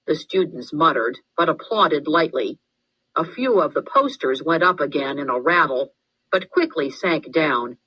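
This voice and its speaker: A woman narrates a children's story in a low voice with lively intonation and an unhurried pace. The delivery is expressive and deliberate, on a dry, slightly dull recording. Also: slightly rough. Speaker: storybook reader. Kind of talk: scripted narration, children's read-aloud.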